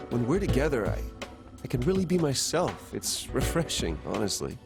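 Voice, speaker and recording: An actor voicing a conflicted young man who thinks aloud about his feelings in a game cutscene. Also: mid-range voice. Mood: earnest. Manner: earnest, searching, sometimes exasperated. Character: conflicted young man torn over his love life. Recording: with background music.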